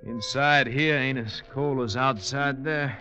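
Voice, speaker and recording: An actor playing a wary, weary Old West outlaw in an old-time radio western, with blunt rustic phrasing. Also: male voice, medium pitch, unhurried, music in the background, lightly acted. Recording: muffled old radio recording.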